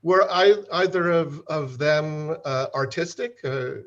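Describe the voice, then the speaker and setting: A man hosting a video-call interview, talking haltingly with many fillers and repeated words. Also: on the high side, fairly bright tone, dull audio. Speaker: host.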